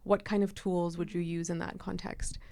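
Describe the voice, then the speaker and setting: A woman's voice: on the low side, flat delivery, moderate pace, warm tone, dry recording, clean studio sound. A woman hosting a podcast, Punjabi her first language, asking questions in a loose, filler-heavy way. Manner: filler-heavy, uses "like" often. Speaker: podcast host. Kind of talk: casual podcast interviewing.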